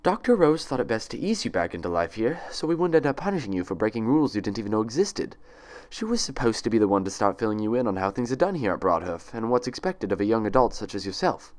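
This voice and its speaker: A man's voice: on the low side, very animated, fast, a little mellow. A man voicing a female pony nurse in an audiobook story, syrupy and condescending with a cold, authoritative edge. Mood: falsely cheerful. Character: falsely cheerful, icily stern female pony nurse.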